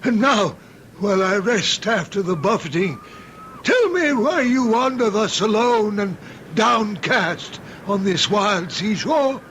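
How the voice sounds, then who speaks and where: A man's voice: sits high, animated, takes it slowly, a bit bright, a little hiss or hum, full character voice. A male actor playing a mocking, malicious hairy monster who tempts and goads in archaic, theatrical phrases in an old-time radio drama. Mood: probing, mocking.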